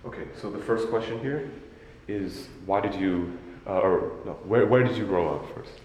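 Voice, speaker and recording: Young student interviewer, deep-voiced man, casual and stumbling, in a roomy hall. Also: moderate pace, darkish tone. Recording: roomy hall with faint noise.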